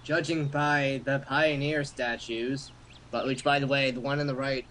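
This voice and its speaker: A man of medium pitch reads visual novel lines aloud as an amateur, then wanders into irreverent asides. His delivery is casual, and the homemade recording has noticeable background noise. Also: flat delivery, normal speed, fairly bright tone. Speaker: amateur voice-over player in a group. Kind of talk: reading game dialogue with joking asides. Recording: noisy amateur home recording.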